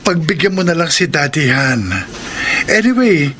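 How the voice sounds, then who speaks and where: A young Filipino man, an aide in a radio drama. He sounds high for a man, persuasive and excitable. A light noisy ambience is behind him. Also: measured pace. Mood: coaxing. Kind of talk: Tagalog radio drama acting.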